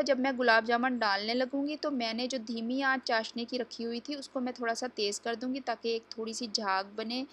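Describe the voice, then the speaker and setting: A woman's voice: on the high side, a bit bright. A woman hosting a home cooking tutorial, explaining each step to viewers in a chatty, instructive way. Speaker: cooking channel host. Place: home kitchen.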